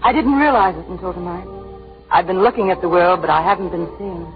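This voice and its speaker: An actress playing a wistful, bravely resigned young woman on the run in 1940s romantic radio drama, with a poetic, measured delivery. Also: lowish voice, close to an everyday voice. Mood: tender, wistful. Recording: muffled 1940s radio recording with music.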